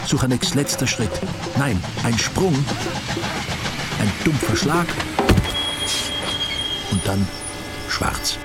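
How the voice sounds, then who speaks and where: A man narrating a story with dry, ironic humor. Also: medium pitch. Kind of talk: wry storytelling reading.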